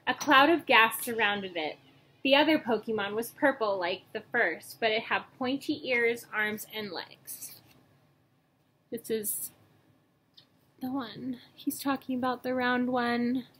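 A young woman reading a children's book aloud in a storytelling style, acting out dialogue and pausing to point out pictures. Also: on the high side, bright, forceful tone. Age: young adult. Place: small room at home, indoors. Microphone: webcam or laptop mic at arm's length. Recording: noisy home webcam recording.

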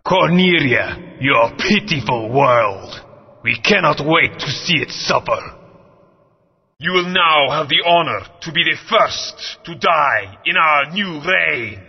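A grandiose alien conqueror declaims slow threats for a video game. His voice is husky and a bit high, with a long hall reverb. The audio is dull and muffled. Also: expressive, faint music behind, full character voice. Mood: menacing.

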